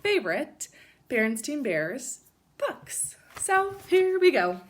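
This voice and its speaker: A woman teacher reading a children's storybook aloud in an expressive narrating style. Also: high voice, takes it slowly.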